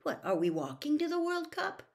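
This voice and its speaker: A woman's voice: high voice, wildly swooping pitch, moderate pace, vocal fry, darkish tone, pitched above the performer's own voice, exaggerated voice. Woman voicing a curious, wide-eyed young boy. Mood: surprised. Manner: questioning, surprised. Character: curious, easily startled young wizard boy.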